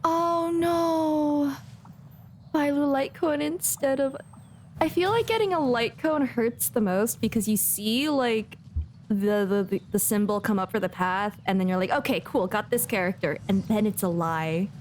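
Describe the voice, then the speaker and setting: A woman's voice: fairly high pitch, unhurried, a little mellow, a little hiss or hum. A woman streaming a video game, chatting casually in a playful, giggly, rambling way. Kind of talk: casual livestream chat while playing a game.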